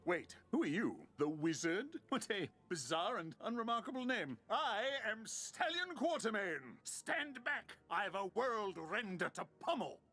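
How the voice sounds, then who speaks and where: Bombastic video game explorer hero, high pitched for a man, super animated, theatrical, bit of music behind. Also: a little slow, few pauses, exaggerated voice. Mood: bewildered, then defiant. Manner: theatrical, grandiose declamation. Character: bombastic, dramatic adventurer man, vain and vengeful. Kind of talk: video game character acting.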